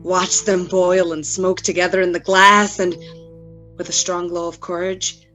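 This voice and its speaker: Obsessed scientist for gothic radio drama, played by a woman: slow, theatrical, deliberate confession over faint music. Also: on the low side, little pitch movement, a bit bright, poor audio. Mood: grave, intense. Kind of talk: gothic radio play monologue.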